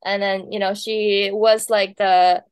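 A woman's voice: medium pitch, flat delivery, takes it slowly, smooth, no room sound. A woman from Taiwan, a wedding planner, chatting casually as an interview guest, laughing often between thoughts.

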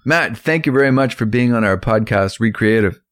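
A man hosting a podcast, steering a casual chat in halting, piecemeal phrases. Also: mid-range voice, lively intonation, a bit bright, no echo. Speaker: podcast host.

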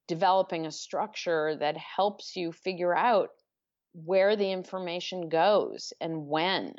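A woman author answers interview questions at an unhurried pace, stopping to correct herself as she thinks. Her voice sits a little low. The recording is dull and lo-fi. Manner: thoughtful, halting, self-correcting. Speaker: author guest. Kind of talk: podcast interview.

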